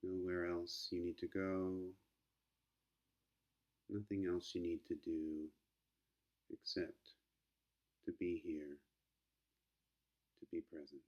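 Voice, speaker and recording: A man leading a guided meditation as a teacher, speaking gently and calmly with long unhurried pauses. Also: low voice, lo-fi sound.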